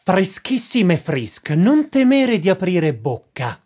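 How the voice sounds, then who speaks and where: An actor playing a manic, declamatory figure who chants like advertising in a comic stage play. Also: male voice, sits high, sing-song, slow, a little mellow, breathy edge, full character voice. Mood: manic, gleeful. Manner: declamatory, sloganeering. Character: manic supermarket doom-clown chanting advertising slogans.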